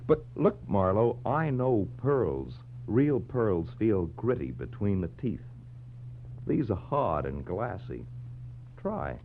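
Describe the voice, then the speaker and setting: A man acting a gruff, shrewd homicide lieutenant in a 1940s radio drama, with terse deadpan replies. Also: on the low side, slow pace, slight background noise, exaggerated voice. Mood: dry, knowing. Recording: muffled, humming 1940s radio recording.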